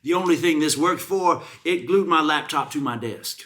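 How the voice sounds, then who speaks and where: A man's voice: fairly high pitch, normal speed, fairly bright tone. A man with a Southern US accent co-hosting a comedy show, mixing casual banter with theatrical, mock-serious readings of product reviews.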